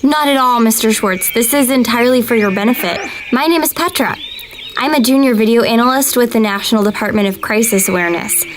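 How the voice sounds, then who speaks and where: An actress playing an earnest young female government video analyst who speaks with calm customer-service politeness. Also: on the high side, a little hiss or hum, close to an everyday voice. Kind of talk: audio drama acting.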